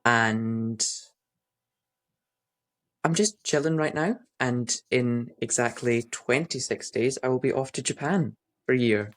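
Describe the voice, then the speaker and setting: A young man from the west coast of Scotland with a light Scottish accent, chatting as a relaxed, modest podcast guest over a video call. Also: medium pitch, slow pace. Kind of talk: casual chat.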